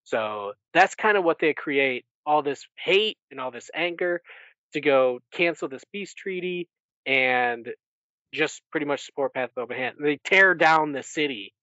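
A man's voice: a little high, animated, a little slow, pushed and bright, no room sound. Guy hosting a podcast, retelling stuff casually, dry and kinda lo-fi. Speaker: podcast host. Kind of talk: casual chat, recapping.

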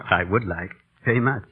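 An actor playing a courteous, well-connected gentleman who talks politely and suavely, in an old radio drama. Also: lowish voice, measured pace. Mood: courteous, charming. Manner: polite, smooth-talking. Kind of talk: 1940s radio drama acting. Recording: tinny narrow-band vintage radio recording.

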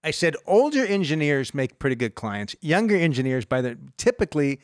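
A man's voice: a little high, very animated, brisk, dry recording. A middle-aged man co-hosting a radio money show, talking loosely and circling back on himself. He laughs easily. The sound is dry and close, with no room. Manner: rambling, restarts often, laughs easily. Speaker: radio show host, financial advisor. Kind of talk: casual radio talk.

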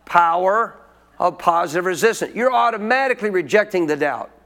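A man's voice: high voice, lively intonation, brisk, a bit bright. A man preaching a sermon as pastor, teaching in a colloquial call-and-response manner.

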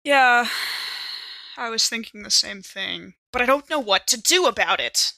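A woman's voice: on the high side, lively intonation, unhurried, gravelly, bright, forceful tone, no echo, low-quality recording. A woman improvising casually in character as a player in a tabletop horror game.

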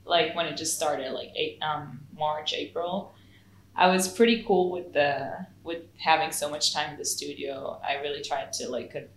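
Young female musician, second-language English, low voice, easygoing chatter, roomy recording with faint hiss. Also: steady tone, moderate pace. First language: not English. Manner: chatty, laughs often, lots of fillers. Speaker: saxophonist and music producer. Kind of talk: casual interview. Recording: echoey room with faint noise.

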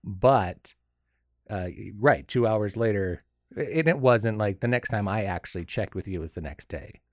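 This man has a deep voice with a slight hoarseness to it. He chats casually, as a podcast co-host does. The sound is very dry, with no room around him. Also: lively intonation, unhurried, dry recording. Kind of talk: casual chat. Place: studio.

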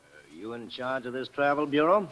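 An actor playing a rugged, blunt man posing as a gangster, with a slow tough-guy drawl in an old radio drama. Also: mid-range voice, lively intonation, fairly bright tone, close to an everyday voice, poor audio. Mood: tough, insistent. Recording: vintage radio drama recording.

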